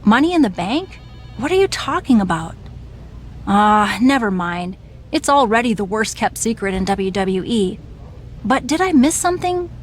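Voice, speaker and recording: An actress playing a wry, no-nonsense female wrestling official in a video game cutscene, speaking briskly. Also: mid-range voice, very animated, a little hiss or hum, lightly acted. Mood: curious.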